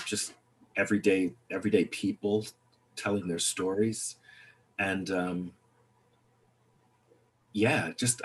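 Halting, reflective man on a video call. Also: sits low. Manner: halting, reflective, many restarts. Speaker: playwright. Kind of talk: panel discussion over video call.

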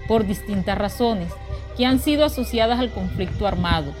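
Clear music plays behind a woman narrator. She reads a radio drama script in a middle-pitched voice, solemn and measured. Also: poor audio.

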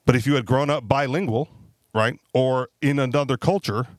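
A man's voice: mid-range voice, moderate pace, no room sound. A man co-hosting a podcast chat, talking loosely with fillers and frequent tag questions. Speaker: podcast co-host. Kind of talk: casual panel discussion.

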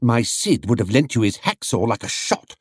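A man's voice: medium pitch, big pitch swings, normal speed, husky. A man voicing an opinionated woman stallholder in a storybook, folksy and disapproving. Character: opinionated woman running a knick-knack stall.

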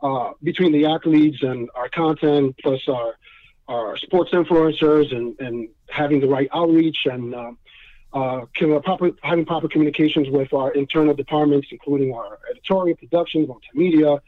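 A man from New York chats as a podcast guest over a thin, phone-like line. His voice sits a bit high, and it comes through dry with no room around it. He talks warmly and loosely, full of fillers and restarts. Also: moderate pace, no echo. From New York, Dominican family. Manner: friendly, many fillers and restarts. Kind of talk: casual interview chat.

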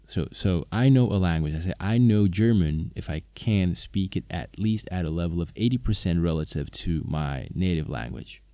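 Low-voiced man with a Slovak first language. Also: lively intonation, normal speed, hardly any pauses, mellow, no room sound. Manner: hesitant, many fillers and restarts. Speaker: polyglot video maker, interpreter. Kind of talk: casual talk to camera.

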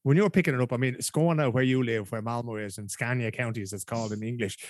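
Fast-talking Dublin Irish man. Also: mid-range voice, no room sound, studio quality. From Ireland. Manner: blunt, swears casually, quick. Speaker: podcast host. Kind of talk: casual interview chat.

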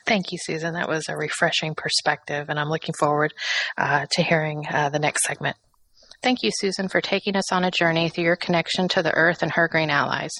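Woman, radio interviewer, slightly low voice, dry close studio sound. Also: steady tone, brisk, fairly bright tone, no room sound.

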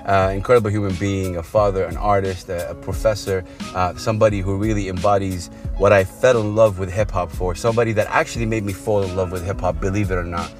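Effusive, low-voiced male show host. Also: steady tone, music in the background. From Saudi Arabia. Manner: effusive, halting with repeated phrases. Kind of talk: in-car interview, casual chat. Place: inside a car.

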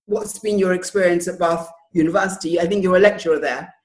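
A man speaking quickly in a radio interview, searching for words and restarting phrases. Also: high-pitched, fairly even intonation, echoey room. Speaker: councillor.

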